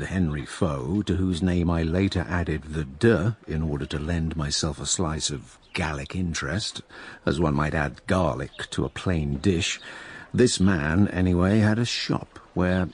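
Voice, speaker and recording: An actor playing a wry, imperious diarist narrating a radio drama with sardonic, theatrical asides. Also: male voice, rumbling low, measured pace, slightly rough, full character voice. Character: wry, imperious male narrator-diarist of plague-stricken London.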